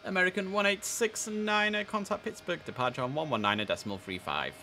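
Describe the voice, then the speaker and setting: A man's voice: high-pitched, brisk, pushed and bright, a little hiss or hum. A man in his thirties giving casual live game commentary, chatty, switching between asides and formal controller calls. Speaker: streamer playing an air traffic controller. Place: indoor room, live stream.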